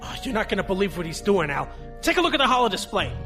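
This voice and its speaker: An actor playing an excitable, youthful, informal male space lieutenant in a sci-fi audio drama. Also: sits high, fast talker. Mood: excited. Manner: urgent, informal, easily flustered. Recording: reverberant hall, faint music.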